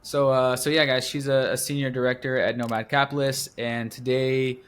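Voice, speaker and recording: Upbeat podcast host. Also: male voice, medium pitch, flat delivery, moderate pace, fairly bright tone, smooth. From United States. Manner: upbeat, repetitive listing. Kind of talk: podcast intro and interviewing.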